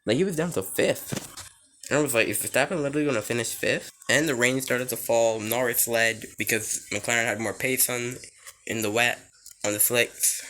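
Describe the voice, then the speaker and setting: A man whose voice is hoarse and nearly gone talks through a race in casual reaction commentary. He is excitable and rambling, restarting sentences and filling gaps with "like", at an ordinary middle pitch. Faint hiss sits under him. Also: rough recording. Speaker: racing fan reviewing a race.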